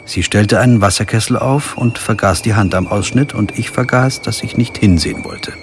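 Actor as deep-voiced, brooding crime narrator. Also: male voice, moderate pace, noisy background, close to an everyday voice. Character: brooding, weary lawyer narrating his own investigation. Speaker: narrator and lead. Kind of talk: scripted first-person narration and dialogue in a radio crime drama.

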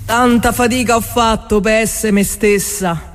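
A woman's voice: on the high side, steady tone, unhurried. A woman with a Roman accent performing a song, half sung and half spoken, in a defiant, declamatory way. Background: music backing.

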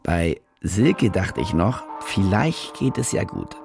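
An actor playing a cynical, evasive journalist in a radio play, narrating and talking in a laconic, colloquial, deadpan way. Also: male voice, deep voice, slow, vocal fry, heavily acted. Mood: wry, laconic. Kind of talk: radio play first-person narration and dialogue. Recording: music behind the voice.

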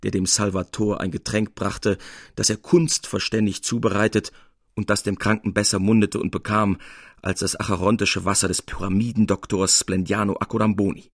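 Male audiobook narrator for literary fiction: low register, husky with plenty of fry, scripted delivery. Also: level tone, moderate pace, no room sound, studio quality.

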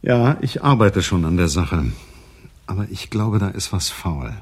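Husky, low-voiced male detective. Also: animated, unhurried, full character voice. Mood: dry, reporting. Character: shrewd, matter-of-fact male private detective narrating his case. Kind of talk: radio play narration and dialogue.

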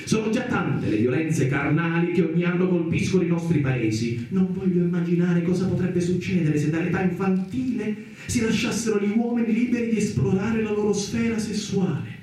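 A man's voice: high voice, a little fast, darkish tone, close to an everyday voice. An actor playing a stern, pessimistic male psychoanalyst in a theatrical radio drama, declaiming his admonishments. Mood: insistent. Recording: echoey, distant, roomy sound.

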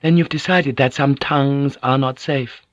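A man's voice: medium pitch, steady tone, moderate pace, no room sound, highs cut off, voice pushed up, lightly acted. A man voicing a poised, formal Japanese noblewoman in an audiobook, speaking with polite restraint. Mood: guarded.

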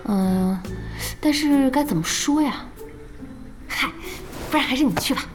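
An actress playing a young female team boss in an audio drama, curious, playful and easily flustered, with expressive hesitations. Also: high voice, a little slow, faint music behind. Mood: curious, hesitant. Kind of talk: Chinese audio drama acting.

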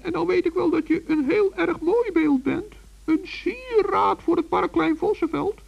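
A man voicing a small old gnome, gentle, shy and dithering, in a children's radio play. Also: very high-pitched, unhurried, gravelly, pitched above the performer's own voice, exaggerated voice. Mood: pitying, earnest. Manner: dithering, repeats himself, talks in diminutives. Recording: dull old radio recording.

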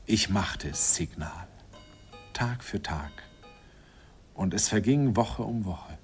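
An actor playing a nostalgic older man who recalls his shy first love in an unhurried, wistful radio play narration. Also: on the low side, expressive. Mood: wistful, reminiscing. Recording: vintage radio recording with music behind.

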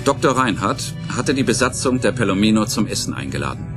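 A man serves as narrator of a radio play, reading scripted dramatic prose. His pitch sits on the low side. Music runs clearly behind his storytelling. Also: big pitch swings, brisk.

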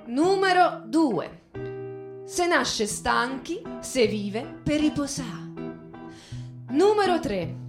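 A woman playing a lazy old-time Roman, declaiming mock commandments loudly with a Roman accent on radio. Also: high voice, pitched above the performer's own voice. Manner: loud, mock-solemn declaiming. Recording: echoey voice with guitar music.

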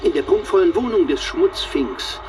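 An actor playing a formal chronicler who reports events like a documentary narrator in a radio drama. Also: male voice, sits high, lively intonation, normal speed, background noise. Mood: formal, dramatic.

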